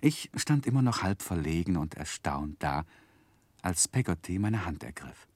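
A man narrating a 1960s radio play as a literary storyteller, measured and expressive. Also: low-pitched, breathy edge, no room sound.

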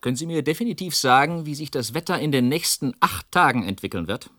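An evasive, nervous bureaucrat in a radio play. His voice is bright and animated, dry and close, with urgent, hesitant phrasing. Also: medium pitch, fast talker, no echo. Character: nervous, evasive government ministry official on the telephone. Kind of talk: radio drama acting.

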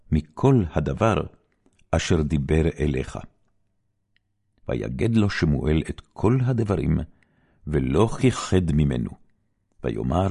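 A man giving a solemn, measured, dramatic reading of scripture as an audiobook narrator. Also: low voice, creaky, warm tone.

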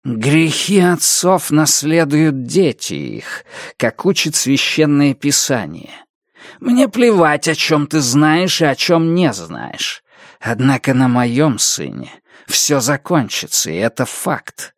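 A man voicing a small, vengeful, cursed fanatic in an audiobook story, with slow, drawn-out threats. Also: on the high side, sing-song, no room sound, clean studio sound, full character voice. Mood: vicious.

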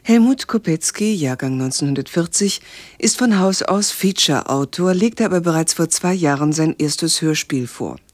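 An announcer reading a scripted introduction to a radio play in a measured, formal manner. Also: mid-range voice, no room sound.

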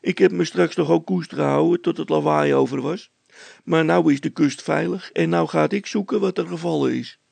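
A man's voice: on the high side, moderate pace, vocal fry, darkish tone, slightly rough, no echo, pitched above the performer's own voice, exaggerated voice. A man voicing a cocky young poacher boy in a story, cheeky, stubborn and boastful, with colloquial speech. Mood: defiant.

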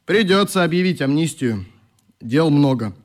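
Man acting brisk young club leader, higher-pitched. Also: expressive, close to an everyday voice. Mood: brisk commanding. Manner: commanding, matter-of-fact. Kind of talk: radio play acting.

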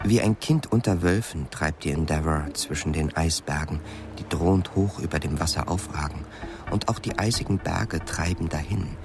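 Deep-voiced male radio drama narrator. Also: normal speed, vocal fry, music in the background.

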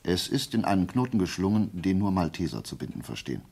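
An actor playing a shrewd, self-assured gentleman detective in a German radio play, reasoning deliberately and with sly politeness. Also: deep voice, sing-song, vocal fry, slightly rough, full character voice. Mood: confident, reasoning.

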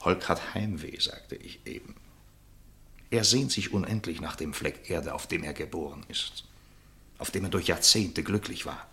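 A very deep, bright-voiced, urging gentleman. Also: normal speed. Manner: earnest, persuasive, articulate. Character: concerned, urging gentleman confidant, worldly and wry. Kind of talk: German literary radio play acting.

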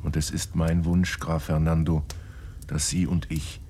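Deep-voiced, reflective house guest. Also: male voice, flat delivery, measured pace, mellow, a little hiss or hum. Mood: reading aloud. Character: composed, observant male guest recounting his stay. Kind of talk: German radio drama dialogue and narration.

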